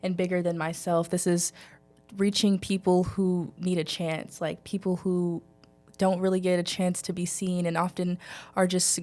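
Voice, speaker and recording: A woman's voice: lowish voice, little pitch movement, normal speed, a little mellow. A young woman from Texas talking casually as a student guest in an interview. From Texas, United States. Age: young adult. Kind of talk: casual chat.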